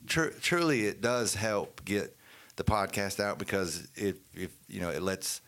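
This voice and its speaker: Deep-voiced halting man. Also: fairly bright tone. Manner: halting, restarts words. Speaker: podcast co-host. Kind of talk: casual chat.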